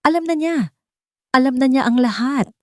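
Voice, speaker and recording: A woman's voice: high voice, moderate pace, warm tone, dry recording, clean studio sound, pitched above the performer's own voice, lightly acted. Woman acting a guilty, fearful drama heroine. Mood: panicked, tearful. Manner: urgent, fearful. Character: guilty, panicking younger woman in a love drama.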